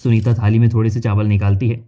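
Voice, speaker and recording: A man's voice: lowish voice, little pitch movement, fast talker, dark tone. A man narrating a scripted cartoon story as its narrator.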